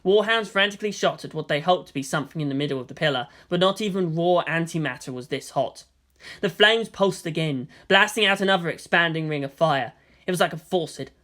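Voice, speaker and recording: A woman's voice: low voice, fairly bright tone. A woman narrating fan fiction in a dramatic storytelling style.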